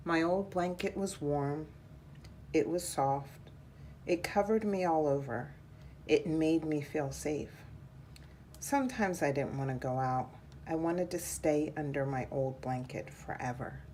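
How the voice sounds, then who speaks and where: A woman reading a children's picture book aloud in a measured, deliberate storytelling style. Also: deep voice, darkish tone, a little hiss or hum.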